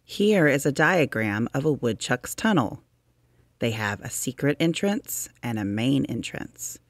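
A storybook narrator reads nonfiction aloud for children at a slow, careful pace. The delivery is expressive, stretching key words for emphasis. The voice is recorded close and dry. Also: medium pitch, no echo. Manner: deliberate, expressive, with drawn-out emphasis. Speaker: narrator reading a children's science book aloud.

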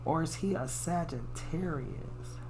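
Slow, gravelly American woman reading tarot. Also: deep voice, over music, low-quality recording. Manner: informal, slangy, musing, salty-tongued. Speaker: tarot reader. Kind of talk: casual chatty tarot reading, thinking aloud.

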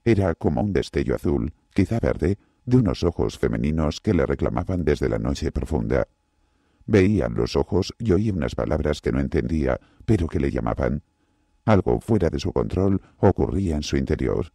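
A man narrating a novel as an audiobook, with an expressive delivery. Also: moderate pace, no echo, studio quality. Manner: very deep, gravelly and expressive. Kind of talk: scripted narration.

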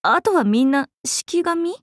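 A woman voicing a playful, cheerful young swordswoman in an anime mobile game, breezy, expressive and teasing like a big sister. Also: high-pitched, slow, dry recording, clean studio sound. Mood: surprised, chatty. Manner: breezy, expressive, teasing, casual with sudden formal turns.

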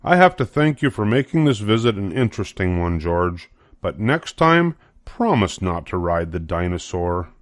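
Man playing a patient, low-voiced schoolteacher. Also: sing-song, no room sound, clean studio sound. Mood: kindly. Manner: measured, explaining. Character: kindly, patient male schoolteacher.

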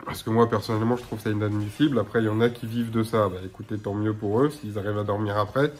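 A man in his thirties with a blocked nose and slightly hoarse voice, chatting casually to his webcam at home as a gaming YouTuber, rambling with lots of filler sounds. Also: lowish voice, steady tone, fast talker, darkish tone, faint music behind. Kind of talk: casual to-camera chat. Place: small room at home.

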